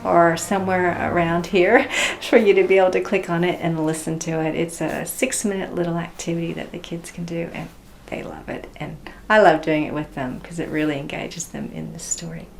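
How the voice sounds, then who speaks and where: A woman's voice: fairly low pitch, steady tone, moderate pace, creaky, slight background noise. A woman teaching in a children's storytime style, with simple instructive phrasing and checking questions. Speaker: Sunday school teacher and storyteller. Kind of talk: children's read-aloud and teaching demonstration.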